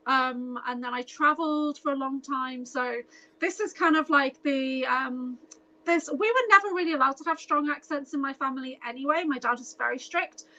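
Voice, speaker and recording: A chatty middle-aged woman with a light Scouse lilt, her voice high and bright, often breaking into giggles. She talks loosely and informally. The sound is a little dull and lo-fi, like a remote call. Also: moderate pace. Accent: light Scouse, softened northern English. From near Liverpool, England. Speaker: guest. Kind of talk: casual podcast chat.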